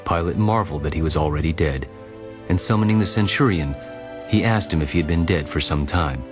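Very deep-voiced male scripture narrator. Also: a little fast. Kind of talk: solemn dramatized scripture narration. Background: music.

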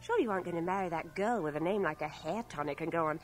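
A woman's voice: fairly low pitch, rapid-fire, close to an everyday voice. An actress playing a forthright, affectionate young woman in a radio drama, teasing, direct and articulate. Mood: exasperated, affectionate. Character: forthright, playful, affectionate young woman, wartime nurse and lover. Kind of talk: 1980 radio drama acting. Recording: cassette transfer of radio drama, faint music.

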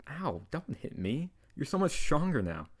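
A man's voice: on the high side, sing-song, measured pace, breathy edge, heavily acted. A man playing a tsundere wizard, a teasing and tender best friend turned lover, in an intimate ASMR roleplay. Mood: playfully whiny.

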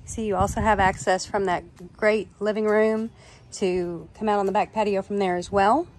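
A woman's voice: medium pitch, fairly even intonation, moderate pace, darkish tone. A woman working as a real estate agent, narrating an informal walk-through of a property. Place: walking through a house and its yard.

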